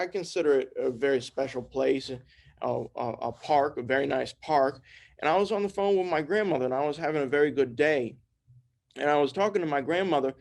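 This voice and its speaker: A man's voice: a little high, a little mellow. A young man giving an informal, earnest spiritual talk, repeating phrases and restarting often. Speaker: trance channel and speaker. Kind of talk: informal sermon.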